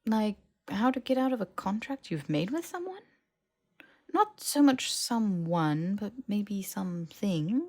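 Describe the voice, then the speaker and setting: Casting: female reader as a cagey young male wizard, stumbling over phrases, a gravelly edge, fantasy audiobook dialogue. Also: medium pitch, big pitch swings, unhurried, a little mellow, voice pushed up. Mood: awkward, evasive.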